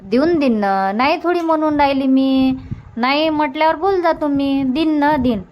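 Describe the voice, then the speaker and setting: कार्टूनमधल्या हुकमी पण काळजी घेणाऱ्या गावाकडच्या मामीला वैदर्भीय मराठीत आवाज देणारी बाई, आग्रहाने बोलणारी. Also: female voice, high-pitched, moderate pace, dull audio, a little hiss or hum, natural voice. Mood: agreeable. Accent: Vidarbha Marathi. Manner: insistent, repetitive. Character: bossy but caring village wife and aunt. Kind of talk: cartoon comedy dubbing.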